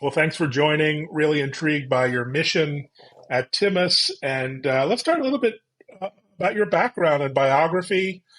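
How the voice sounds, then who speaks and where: Upbeat male podcast host, slightly high voice. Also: animated, moderate pace, a bit bright. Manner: upbeat, effusive introductions and questions. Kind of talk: interview hosting.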